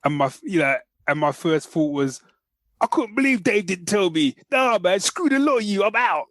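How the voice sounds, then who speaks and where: A British man with a somewhat high-pitched voice, recorded very dry. He talks like a podcast host among mates, slangy and animated. His reviewing is informal and enthusiastic. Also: normal speed, no echo. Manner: animated, slangy, often addresses listener as man. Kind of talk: casual chat, enthusiastic review.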